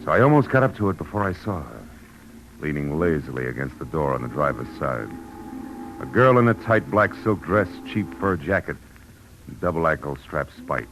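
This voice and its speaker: A man's voice: a bass voice, very animated, normal speed, fairly bright tone, a little hiss or hum, exaggerated voice, poor audio. An American actor playing a tough, sardonic, world-weary private detective in a vintage radio drama, wry and clipped in his slangy delivery. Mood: moody narration. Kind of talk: 1940s-50s radio detective drama, first-person narration. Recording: vintage radio recording with music behind.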